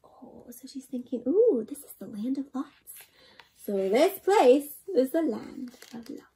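A young woman with a high, bright-eyed storytime delivery reads a picture book to children. Her pitch swings widely and expressively. She keeps pausing to put questions to her young listeners. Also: low-quality recording. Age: young adult. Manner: animated and expressive, often asking the listener questions. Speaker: host reading a picture book aloud to children. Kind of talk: interactive children's read-aloud.

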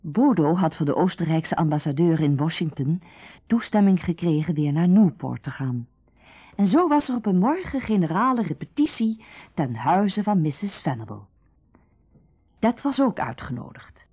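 An actress playing the storyteller of a radio drama, narrating a summer tale. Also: female voice, fairly low pitch, very animated, moderate pace, warm tone, husky. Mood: calm storytelling. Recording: dull, roomy old radio recording.

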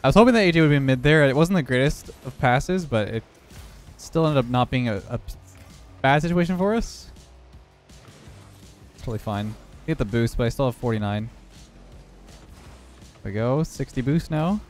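A young man who has lost some of his voice, hoarse, giving relaxed running commentary over his own gameplay. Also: medium pitch, moderate pace, long pauses, faint music behind. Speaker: gaming YouTuber.

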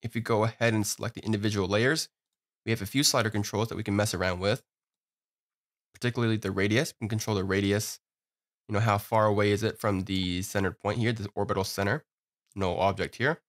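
A man with a fairly low voice talks a viewer through a screen-recorded software tutorial. His delivery is relaxed and upbeat, with frequent "you know" and "uh" as he clicks through each step. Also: fairly quick.

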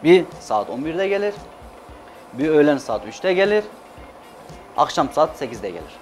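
A man's voice: on the high side, very animated, unhurried. A man in his thirties from Adana in Turkey, a chef talking casually and emphatically as an interview guest, repeating words for stress. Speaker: chef and restaurant owner, guest. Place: TV studio kitchen set. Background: background music.

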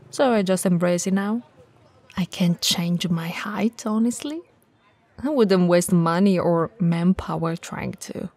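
A woman acts out a towering, friendly shop owner in an ASMR roleplay. She talks slowly and softly, with a mellow, breathy voice and an easygoing, teasing manner. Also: mid-range voice. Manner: relaxed, soft, teasing, with breathy whispers.

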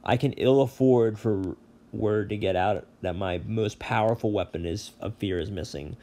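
Man performs bellowing, aggrieved god of the dead for a storybook reading, lowish and loud. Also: moderate pace, natural voice. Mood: menacing. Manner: bellowing, harried and threatening. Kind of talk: audiobook reading.

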